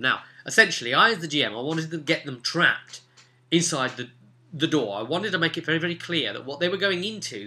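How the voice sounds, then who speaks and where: A man's voice: medium pitch, bright, forceful tone. A man hosting a vlog as a game master, explaining casually in a rambling, emphatic way and repeating words for emphasis. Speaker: game master and vidcast host.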